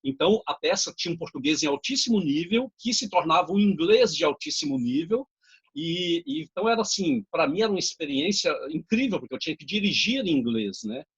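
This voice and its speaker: A man's voice: sits high, very animated, a little fast, husky, highs cut off. A man from Brazil, a theatre director, chatting on a video call and correcting dates and names as he remembers them. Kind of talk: casual video-call chat.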